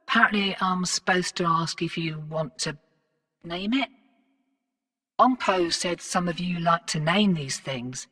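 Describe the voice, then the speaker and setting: An actress playing a brash, wry, streetwise space-colony fixer in a video game, with a laconic, cocky, slangy drawl. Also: female voice, lowish voice, sharp tone, long reverb tail, far from the mic, heavily acted. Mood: wry, casual. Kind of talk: scripted video game character dialogue.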